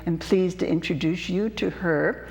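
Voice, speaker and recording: Unhurried woman hosting a talk show. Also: lowish voice, creaky, a little mellow, in a large hall. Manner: unhurried, conversational questioning. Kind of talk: studio interview.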